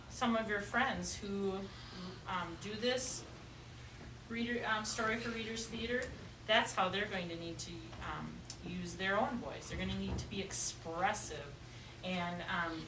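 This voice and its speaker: A woman's voice: medium pitch, recorded from a distance. Woman teacher in a noisy, roomy classroom. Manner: encouraging, questioning, hesitant phrasing with pauses. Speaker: elementary school teacher. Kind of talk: classroom lesson talk to young children. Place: indoor classroom.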